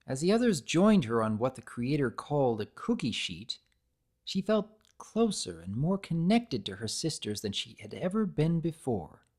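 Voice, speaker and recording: Story narrator, dramatic reading, very animated intonation, deliberate expressive delivery. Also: medium pitch.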